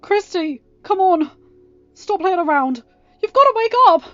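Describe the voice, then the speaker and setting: A woman's voice: extremely high pitch, expressive, unhurried, bright, forceful tone, no echo, lo-fi sound, soft background music, pitched above the performer's own voice, exaggerated voice. A woman voicing a grief-stricken young man who screams and sobs, pleading for his sister. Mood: anguished screaming. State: crying.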